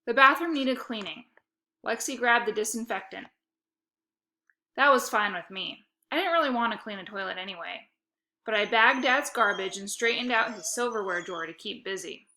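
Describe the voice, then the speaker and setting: A woman reading a children's story aloud in an expressive, emphatic narrating style. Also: medium pitch, moderate pace. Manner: animated, emphatic dialogue.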